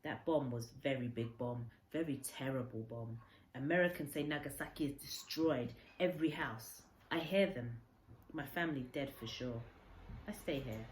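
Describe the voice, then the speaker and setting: A woman voicing a gentle elderly Japanese man from a children's storybook, speaking in short phrases of broken English. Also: rumbling low, moderate pace, echoey room, slight background noise, poor audio. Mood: grave, resigned.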